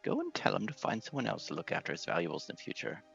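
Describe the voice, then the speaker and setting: A man's voice: huge pitch swings, very fast, creaky, fairly bright tone, dull audio, soft background music, exaggerated voice. A man voicing a befuddled, pompous, scatterbrained old wizard from a fantasy game. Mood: indignant, befuddled. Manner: pompous, befuddled, swooping into high pitch. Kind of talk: game dialogue read in character.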